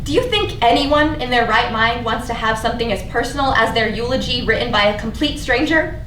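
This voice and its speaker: A lonely, guarded soul acted by a woman in a staged play reading, speaking in a fairly high voice with bitter, confessional intensity. The sound is echoey and picked up from a distance, with some faint noise behind it. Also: brisk, lightly acted. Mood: despairing, bitter. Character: lonely, despairing, guarded young person named Sage, bitterly blunt. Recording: echoey room, distant mic, background noise.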